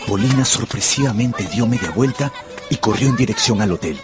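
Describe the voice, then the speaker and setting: A man's voice: medium pitch, fairly quick, over music, natural voice. An actor playing an earnest, lovestruck young man, narrating and speaking in an intimate radio drama. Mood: wistful narration. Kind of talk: first-person radio drama narration and dialogue.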